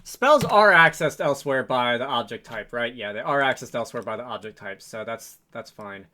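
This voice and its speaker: A man's voice: mid-range voice, animated, normal speed, pushed and bright, a little hiss or hum. A man giving a casual, unscripted programming tutorial, thinking aloud in a hesitant, rambling, self-correcting way. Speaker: programming video host, game developer. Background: computer keyboard typing and mouse clicks.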